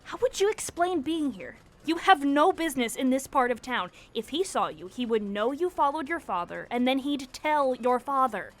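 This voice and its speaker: A sensible, bookish girl with a high voice. She cautions and reasons in the manner of a 1920s radio play. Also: very animated, normal speed, hardly any pauses. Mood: cautious, urgent. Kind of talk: 1920s radio drama acting.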